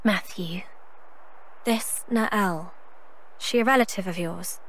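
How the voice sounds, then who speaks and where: An actress playing a friendly young teammate in a video game, direct and informal. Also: female voice, medium pitch, slow, fairly bright tone, rough recording. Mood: concerned. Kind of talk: video game cutscene acting.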